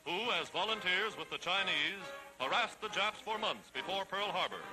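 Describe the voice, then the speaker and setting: A man's voice: sits high, lively intonation, normal speed, over music, low-quality recording. A man with an American accent narrating a 1940s newsreel in a formal, declamatory, emphatic manner on a vintage soundtrack.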